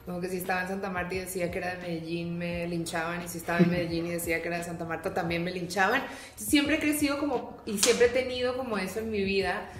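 A young woman with a Colombian accent tinged with Mexican speech, a guest in a casual interview, playful and quick to laugh. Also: lowish voice, fairly even intonation, roomy sound, soft background music. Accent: Colombian, mixed with Mexican. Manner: playful, laughs easily, chatty. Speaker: guest, actress. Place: living room, indoors. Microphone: stand mic on coffee table.

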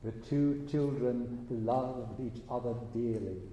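Man, fairy tale narrator, unhurried measured phrasing, mellow tone, big reverberant hall. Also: medium pitch. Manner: measured, deliberate phrasing with pauses. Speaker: storyteller narrating a stage performance. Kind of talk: fairy tale storytelling for a eurythmy stage show. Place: large indoor stage hall with an audience. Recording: very echoey hall, distant, faint noise.

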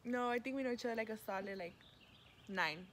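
A woman's voice: fairly high pitch, fairly quick, a bit bright. A woman in her twenties in casual vlog chat, slangy and profane, slipping into Spanish, recorded outdoors. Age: 20s. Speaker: vlog co-host. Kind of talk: casual chat answering questions. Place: outdoors by a parking area. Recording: noisy outdoor ambience.

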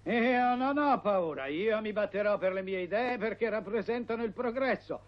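An actor playing a stubborn, idealistic old teacher in a cartoon, speaking in an earnest, declamatory manner. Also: male voice, sits high, moderate pace, close to an everyday voice. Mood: earnest, defiant. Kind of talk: Italian cartoon dubbing. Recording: dull lo-fi old cartoon soundtrack.

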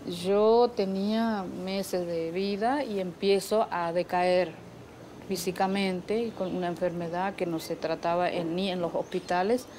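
Mellow, halting middle-aged woman outdoors. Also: on the low side, fairly even intonation, a little hiss or hum. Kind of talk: conversational personal recollection. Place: leafy patio, outdoors.

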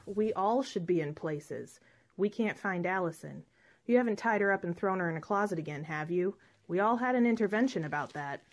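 A woman's voice: on the low side, expressive, fairly quick. A woman playing a worried, suspicious cast member in a comic backstage skit. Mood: accusing.

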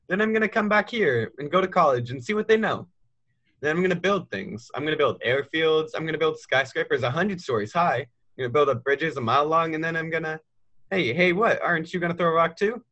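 A high school boy acts out a restless young American dreamer in a radio play. His voice is rather high and very bright, with an earnest, eager push to his words. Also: dull audio. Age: teens. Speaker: high school drama student. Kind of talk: stage radio play acting.

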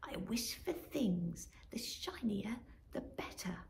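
A woman voicing a male magpie in a storybook who is greedy for shiny things, speaking in a hushed, conspiratorial way. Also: high voice, big pitch swings, slow, roomy sound, far from the mic, a little hiss or hum, pitched above the performer's own voice, heavily acted. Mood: scheming hush.

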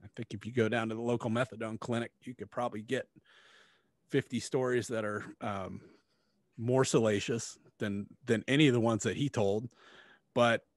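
A man's voice: mid-range voice, steady tone, normal speed, dry recording. A middle-aged man co-hosting a podcast in casual, blunt, sweary chat, speaking close to the microphone. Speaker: podcast co-host. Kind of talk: casual book review chat. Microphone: close podcast microphone with headphones.

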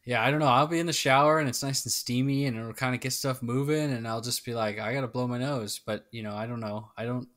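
A man's voice: medium pitch, steady tone, fast, few pauses, fairly bright tone. Chatty man podcast co-host. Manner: rambling, self-interrupting. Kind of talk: casual chat.

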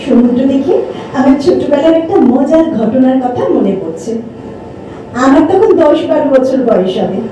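High-pitched woman actor as a lively poetess, emotive stage radio-play delivery, soft mellow tone, distant in a very echoey hall. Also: moderate pace. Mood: animated, amused. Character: Bhabna, lively sensitive woman poet in a romance. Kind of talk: stage audio drama acting, tender and expressive. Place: multipurpose hall, live performance.

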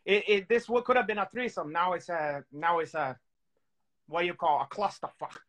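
Co-host, man in his thirties, high voice, playful rambling banter, on a video call. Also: normal speed, a bit bright. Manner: playful, teasing, rambling with many restarts. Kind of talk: joking casual chat. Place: home room with candlelight.

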